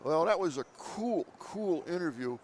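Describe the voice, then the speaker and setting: A man's voice: fairly high pitch, lively intonation, slight background noise. Effusive male trade show host. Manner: enthusiastic, effusive, quick to interject. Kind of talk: live trade show interview. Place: busy trade show floor. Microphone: headset.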